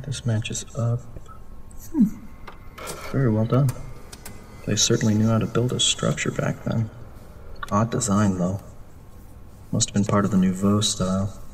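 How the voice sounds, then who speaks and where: An actor playing an anxious, overworked architect in an audio horror drama, muttering to himself in short halting phrases. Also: male voice, on the low side, creaky, a little hiss or hum.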